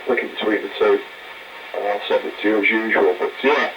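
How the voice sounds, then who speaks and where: A man's voice: lowish voice, steady tone, brisk, faint music behind. An English man with an English accent, rambling casually with fillers as the other operator on a radio test. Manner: rambling, hesitant, many fillers. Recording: muffled distant radio transmission.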